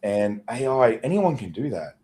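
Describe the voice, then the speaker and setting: A man co-hosting a business podcast, talking in a relaxed, conversational way. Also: mid-range voice, moderate pace. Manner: relaxed, conversational, chuckles easily. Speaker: podcast co-host. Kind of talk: casual chat.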